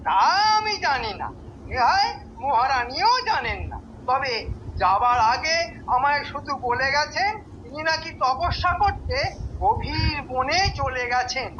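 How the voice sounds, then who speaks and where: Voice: high-pitched, lively intonation, a little slow, fairly bright tone, muffled recording, a little hiss or hum. An actor with a Bengali accent playing a pompous, scheming male royal minister in a cartoon, haughty and commanding. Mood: smug.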